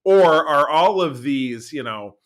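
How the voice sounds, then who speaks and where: Bright-voiced middle-aged man chatting. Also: a little high, lively intonation, slow. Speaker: podcast co-host. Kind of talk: casual conversational podcast chat.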